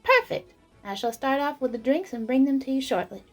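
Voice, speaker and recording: Woman as courteous high-voiced tavern server. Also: animated, brisk. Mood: chatty, attentive. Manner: polite, chatty, attentive. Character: busy, courteous young tavern serving woman. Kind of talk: fantasy audio drama acting. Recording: background music under the voice.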